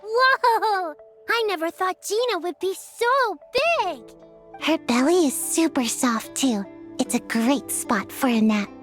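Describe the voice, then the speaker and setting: A woman voicing an excitable, innocent little girl in an anime-style video game, with an eager, childlike and exclamatory manner. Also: very high voice, slow pace, faint music behind, full character voice. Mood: delighted.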